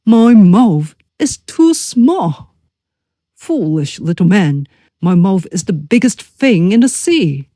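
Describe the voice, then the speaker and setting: A woman voicing a big booming greedy whale. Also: big pitch swings, slow, dark tone, dry recording, studio quality. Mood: mocking. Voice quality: booming, deep rolling laugh. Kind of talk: storybook read-aloud.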